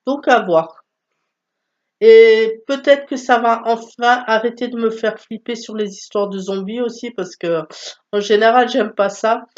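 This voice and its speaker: A woman's voice: fairly high pitch, steady tone, unhurried, darkish tone, lo-fi sound. A woman with a stuffy nose from a cold, chatting casually about her book haul with many hesitations. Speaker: book vlogger.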